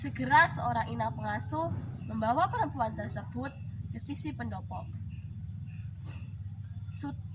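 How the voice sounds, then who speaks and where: Female storyteller, high voice, expressive folk-tale narration, deliberate dramatic phrasing, noticeable background noise. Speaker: storyteller in a school storytelling contest. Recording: clearly noisy background.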